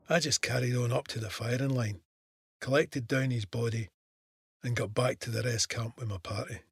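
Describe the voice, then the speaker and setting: A man's voice: mid-range voice, gravelly, breathy edge, no echo. An actor with a Scottish accent playing a wry, plain-spoken soldier narrating his war memoir with dry understatement. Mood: weary, subdued.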